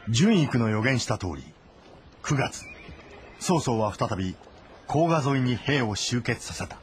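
A man reading dramatic scripted narration of a historical novel, in terse, measured sentences. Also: mid-range voice, lively intonation, a little hiss or hum. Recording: voice over background music.

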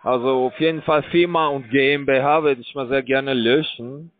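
A man calling from a scam call center, speaking broken full of fillers as he pushes his pitch. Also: steady tone, normal speed. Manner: broken German, filler-heavy, pushy salesman. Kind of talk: phone call. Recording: tinny phone line.

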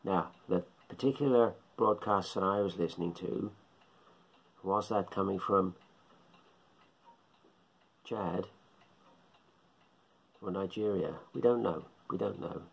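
A middle-aged man rambles and muses aloud, repeating himself as he talks casually to a close phone camera. His voice is very low, gravelly and husky. Clocks tick in the small living room behind him. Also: takes it slowly, pauses often. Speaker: shortwave radio hobbyist vlogger. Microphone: phone or webcam at close range.

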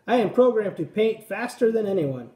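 A proud little robot from a picture book brags enthusiastically about his abilities, as a man puts him on. The voice is high and mellow, and it sounds roomy. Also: lively intonation, normal speed. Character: boastful, eager male toy robot. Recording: roomy echo.

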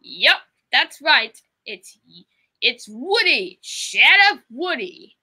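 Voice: sits high, sing-song, takes it slowly, sharp tone, husky. A speaker chatting casually on a video call while playing a guessing game. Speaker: guest in an online puppet show.

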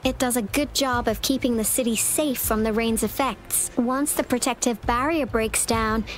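An actress voicing a composed, solemn young woman director in a video game, speaking in a formal, measured, explanatory way. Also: fairly high pitch, steady tone, natural voice. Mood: polite, composed. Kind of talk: video game character dialogue.

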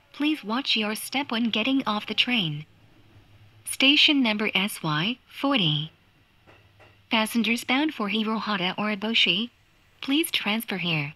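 A woman's recorded train announcement voice, carefully enunciated and delivered very slowly. Her tone is bright, and the sound is dry with no room around it. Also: medium pitch, dry recording.

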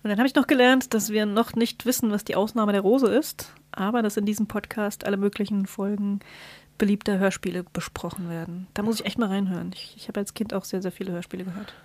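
A woman's voice: mid-range voice, steady tone, fast, darkish tone, no room sound. A woman co-hosting a podcast in casual, conversational talk. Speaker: podcast co-host.

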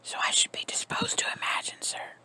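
A reader whispering the lines of a discreet, deferential male valet, speaking in a polite, measured and formal way. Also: bright, forceful tone. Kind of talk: ASMR story reading.

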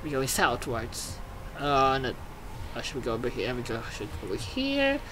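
An excitable gaming host giving casual commentary, exclaiming and swearing while reading game text aloud. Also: medium pitch, moderate pace, music in the background, poor audio.

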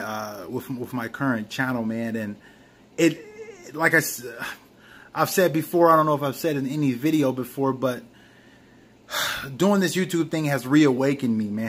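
A man's voice: medium pitch, slightly rough. A young man about thirty giving a casual motivational vlog from a small room at home, talking earnestly into a close camera and calling his viewers "man" a lot. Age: young adult. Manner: earnest, animated, lots of "man" and "you know what I'm saying". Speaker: vlogger. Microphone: camera close to face, webcam or phone.

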